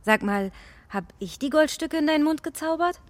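High-voiced, self-doubting young fairy. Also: female voice, animated, normal speed, dry recording. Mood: grumpy, dismissive. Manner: self-deprecating, matter-of-fact. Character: young self-doubting fairy pupil, a girl, blunt but kind. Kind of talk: children's radio play acting.

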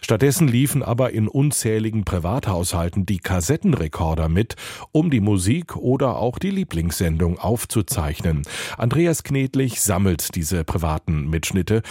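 Male radio host, low and mellow, scripted links. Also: slightly rough, no room sound, clean studio sound.

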